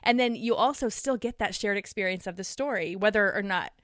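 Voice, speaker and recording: A woman speaking conversationally as a podcast guest, explaining things in an animated way. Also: medium pitch, brisk, no room sound, studio quality. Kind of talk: conversational interview.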